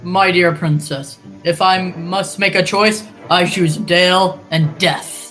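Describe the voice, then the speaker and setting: A man's voice: sits high, fairly even intonation, takes it slowly, a bit bright, soft background music, poor audio. A man acting a brave, defiant young space hero in an amateur radio serial, plain and resolute.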